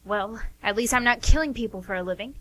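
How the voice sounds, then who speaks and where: A woman playing a bright-voiced, defiant sister. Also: on the high side, quick pace, poor audio. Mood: annoyed, defiant. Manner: sharp, protesting. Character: defiant, indignant younger woman, bullied sister. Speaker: actress in a radio play, also reading stage directions. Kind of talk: amateur radio drama acting.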